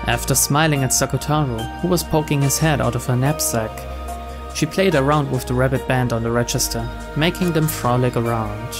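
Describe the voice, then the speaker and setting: A man with a mid-pitched voice narrates a visual novel. He reads the scripted story aloud, with faint music playing underneath. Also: brisk. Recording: soft music behind the voice.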